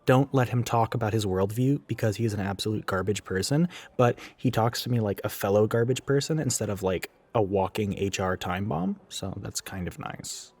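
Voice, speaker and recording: A man's voice: fairly low pitch, normal speed, hardly any pauses, darkish tone, dry recording. A man playing a chatty, sarcastic, gender-ambiguous retail coworker who goes by she, in a casual, rambling audio roleplay addressed to the listener. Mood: wry. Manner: rambling, sarcastic, flippant, with sighs and chuckles.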